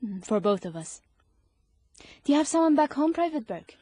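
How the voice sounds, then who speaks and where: A woman's voice: high-pitched, sing-song, moderate pace, mellow, no room sound. A woman playing a prim, caring young British army nurse in a period radio drama. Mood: proper, earnest.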